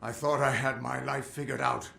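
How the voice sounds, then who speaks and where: A man's voice: medium pitch, level tone, normal speed, creaky, sharp tone, a little hiss or hum. An actor playing a rueful, rambling middle-aged fortune-teller and former warrior who likes his drink, in a fantasy game. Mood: rueful, bitter. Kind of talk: fantasy video game dialogue. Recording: roomy echo.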